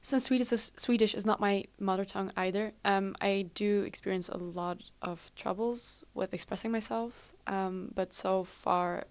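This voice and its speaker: Casting: young woman, Flemish native speaker, halting casual English, studio guest. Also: medium pitch, fairly even intonation. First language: Dutch (Flemish). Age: young adult. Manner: hesitant, many ums, plain non-native English. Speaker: exchange student guest. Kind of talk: casual radio chat. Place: student radio studio.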